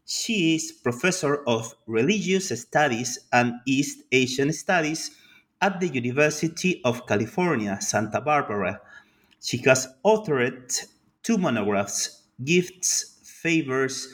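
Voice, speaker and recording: A man's voice: mid-range voice, lively intonation, fairly bright tone. Polite, formal podcast host man. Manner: polite, formal, slightly halting. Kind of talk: formal interview hosting.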